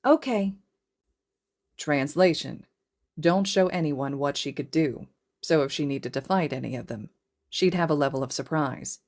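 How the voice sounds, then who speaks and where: Low-pitched woman narrating fiction. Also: normal speed, a little mellow. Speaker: podfic narrator.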